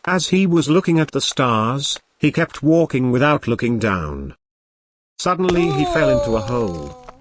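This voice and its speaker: A man's voice: medium pitch, big pitch swings, normal speed, dry recording. A man narrating a scripted moral story for a cartoon. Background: faint music.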